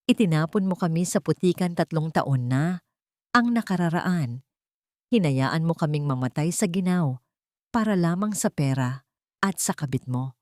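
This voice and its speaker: A woman's voice: sits low, lively intonation, moderate pace, darkish tone, dry recording. Filipino narrator lady as a hurt but determined mom in a love drama, bitter, shaky yet firm, dry sound. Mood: bitter, defiant. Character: determined, hurt young mother protecting her daughter.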